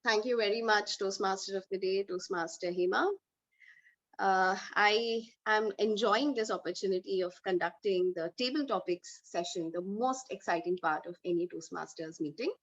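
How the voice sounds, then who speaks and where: Lady hosting a club call, lots of uhs, muffled lo-fi sound. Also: medium pitch. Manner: measured, many filler uhs. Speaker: table topics master. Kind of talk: online club meeting hosting. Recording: dull lo-fi online call audio.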